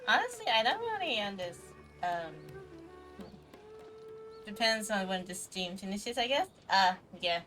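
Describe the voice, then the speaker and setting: A woman's voice: mid-range voice, big pitch swings, a little slow, pauses often, bright, forceful tone. A woman chatting casually on a game livestream in a hesitant, rambling way, often trailing off. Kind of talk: casual game stream chat. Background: faint game music.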